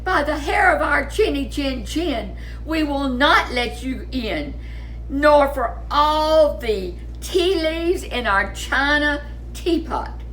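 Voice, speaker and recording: A woman's voice: high voice, lively intonation, takes it slowly, fairly bright tone, a little hiss or hum, voice pushed up, full character voice, poor audio. A woman voicing cuddly little wolf children in a storybook, timid but defiant, with a childlike sing-song delivery.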